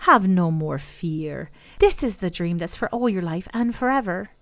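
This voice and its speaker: A woman voicing a shrewd, steady old Irish woman in a storybook reading. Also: medium pitch, a little fast, no echo, studio quality, voice pushed up, lightly acted. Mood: tender.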